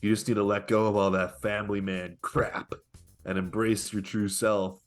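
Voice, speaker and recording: A man's voice: fairly low pitch, flat delivery, normal speed, soft background music, close to an everyday voice. A man playing a smug, pushy pickup-artist guru in a comedic table read, with a coaxing salesman manner. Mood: persuasive, pushy.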